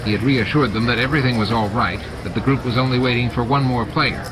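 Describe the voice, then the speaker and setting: A man's voice: mid-range voice, quick pace, background noise. A man reading a novel aloud as a dramatic, scripted audiobook narration. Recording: music and sound effects behind. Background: music, added sound effects.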